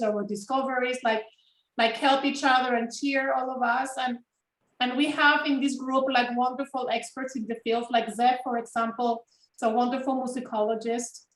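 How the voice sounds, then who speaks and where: A woman with a Spanish accent speaking enthusiastically on an online video call. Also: high-pitched. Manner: lively and enthusiastic. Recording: echoey room on a call.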